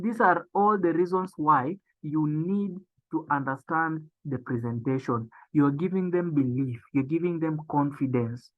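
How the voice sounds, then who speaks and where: A young man giving a motivational business training talk. He speaks emphatically, repeats his key phrases and slows down to stress them. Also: a little high. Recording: tinny phone-like sound.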